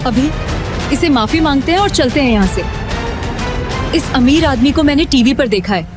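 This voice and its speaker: Anxious high-pitched young woman. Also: expressive, moderate pace, music in the background. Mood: nervous. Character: anxious young poor woman, timid and apologetic girlfriend. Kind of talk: Hindi audio drama acting.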